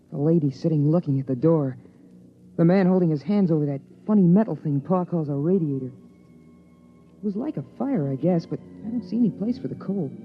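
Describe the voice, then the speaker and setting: A man's voice: fairly high pitch, natural voice. Boy narrator played by a man, anxious and eager, old radio play, dull lo-fi sound. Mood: hushed. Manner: earnest, breathless boyish delivery. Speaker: narrator and young son. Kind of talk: 1950s radio drama acting. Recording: muffled 1950s radio broadcast.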